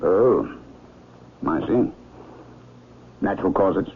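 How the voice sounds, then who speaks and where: An actor playing a courteous, inquisitive doctor in a 1970s radio drama, speaking in a measured, probing way. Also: male voice, low voice, expressive, slightly rough, full character voice. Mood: sober. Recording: muffled vintage radio recording, faint hiss.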